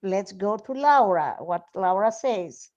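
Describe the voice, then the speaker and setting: A woman from Greece with a Greek accent, hosting a live stream interview in English with a slow, halting, friendly delivery. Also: medium pitch, animated, darkish tone, lo-fi sound. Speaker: radio host.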